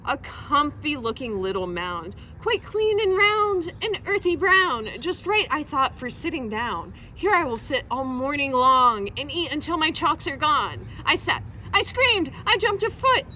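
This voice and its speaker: A woman reading a children's poem aloud in a theatrical, expressive storytelling manner, recorded outdoors. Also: high-pitched, unhurried, few pauses. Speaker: library reader presenting a poem. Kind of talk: animated children's poetry reading. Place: outdoors outside a public library. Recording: outdoor recording with faint traffic noise.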